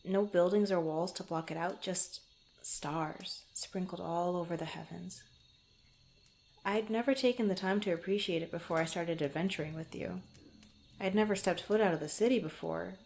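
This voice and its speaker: A woman playing a guarded, bantering young swordswoman in an intimate fantasy ASMR roleplay, speaking haltingly to the listener. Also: medium pitch, vocal fry, darkish tone, a little hiss or hum. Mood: wistful. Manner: flustered, bantering, halting, often sighing or chuckling. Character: guarded, teasing young adventurer swordswoman, former street thief.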